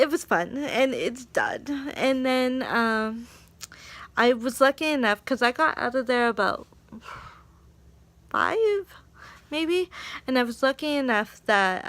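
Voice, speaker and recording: Bright-voiced woman vlogging. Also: fairly high pitch, dry recording. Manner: animated, laughs often, acts out quotes. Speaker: English teaching assistant. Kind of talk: casual vlog storytelling.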